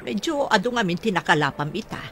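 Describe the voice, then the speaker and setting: A woman's voice: medium pitch, big pitch swings, fast talker, fairly bright tone, soft background music. A woman playing a practical, teasing older village wife in Ilocano radio drama, with an Ilocano accent. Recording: clearly noisy background.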